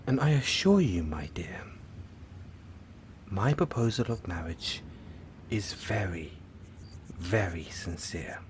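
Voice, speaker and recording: A man playing a slow-talking, theatrical lovesick supervillain. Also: sits low, lively intonation, slight background noise. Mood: suave, ominous. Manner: theatrical, halting, dropping into breathy near-whispers. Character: lovesick, lonely supervillain, suave musical phantom, obsessive and theatrical. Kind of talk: intimate audio roleplay acting.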